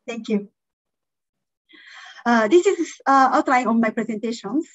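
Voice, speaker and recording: High-pitched woman, english not her first language, lots of uhs, kinda dull lo-fi call audio. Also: animated, measured pace, dry recording. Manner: hesitant, many fillers. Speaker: invited conference speaker. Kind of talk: online academic presentation. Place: indoor room.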